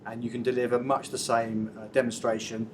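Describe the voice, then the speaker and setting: A man's voice: mid-range voice, level tone, brisk, recorded from a distance, background noise. A man walking through a product demo in a large showroom, explaining loosely with uhs and restarts. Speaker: product demonstrator. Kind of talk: live product walkthrough. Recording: echoey showroom, off-mic.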